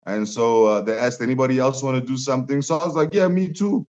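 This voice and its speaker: A man's voice: mid-range voice, fast, a little mellow. A young man, a musician guest, telling stories animatedly in a casual interview, laughing often and jumping his voice up for quotes. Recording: dull lo-fi sound.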